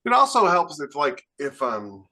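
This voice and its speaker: A man's voice: on the high side, wildly swooping pitch, measured pace, bright, forceful tone. An American man co-hosting a podcast, talking casually with blunt, opinionated delivery. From United States. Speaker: podcast co-host, writer. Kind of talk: casual panel discussion.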